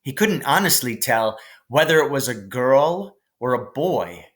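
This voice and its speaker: A man reading a children's novel aloud as scripted narration, in a measured, careful way. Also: mid-range voice, very animated, fairly bright tone. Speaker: audiobook narrator.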